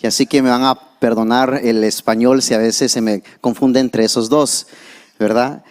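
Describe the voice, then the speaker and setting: A young man, whose first language is English, preaches a church sermon. He keeps things conversational with asides and frequent restarts. His voice sits at an ordinary middle pitch for a man. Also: fairly even intonation, normal speed. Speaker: pastor.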